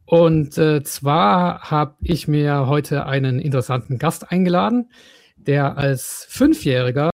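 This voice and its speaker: A man's voice: a little high, no echo. A man hosting a podcast interview, asking long questions with many fillers and restarts. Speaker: podcast host. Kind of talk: casual interview.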